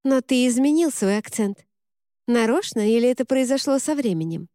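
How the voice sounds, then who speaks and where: A woman voicing a curious, warm-hearted young woman who asks eager questions in a storybook reading. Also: a little high, expressive, normal speed, dry recording, clean studio sound, voice pushed up, close to an everyday voice.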